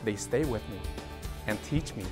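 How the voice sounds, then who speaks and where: Young man born in Cambodia, lowish voice, earnest and plain, guitar music behind. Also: normal speed. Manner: earnest, slightly uneven grammar. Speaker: interviewee. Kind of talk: personal interview.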